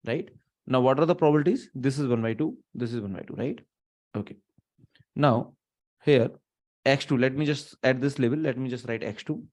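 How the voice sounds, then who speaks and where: An Indian man in his thirties teaches a live online class from a small room, his voice sitting at an ordinary middle pitch. He thinks out loud, stops and restarts, and drops Hindi phrases into his English. The mic is a webcam setup, not close to him. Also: brisk. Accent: Indian English, mixing in Hindi. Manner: thinking aloud, many restarts and fillers.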